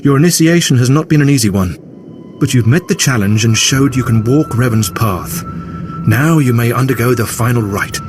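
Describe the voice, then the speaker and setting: An actor playing a reverent cult initiator in a video game, speaking in a measured, approving manner. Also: male voice, mid-range voice, animated, over music, lightly acted. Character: reverent male cult initiator welcoming a recruit. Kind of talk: video game dialogue acting.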